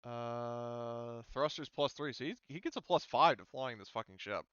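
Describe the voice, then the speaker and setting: A man's voice: mid-range voice, animated, normal speed, a bit bright, smooth, no echo, lo-fi sound. A man running a tabletop role-playing game as game master, explaining things in a casual, informal way. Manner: informal, sweary.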